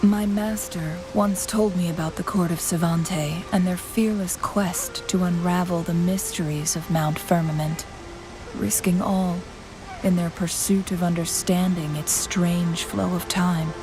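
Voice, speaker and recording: A woman acts out a scholarly young female adventurer from a video game, composed and formal. Her voice sits a little low and every phrase is measured and articulate. Music plays clearly behind her. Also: steady tone, natural voice, rough recording. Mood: thoughtful. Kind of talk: video game story dialogue.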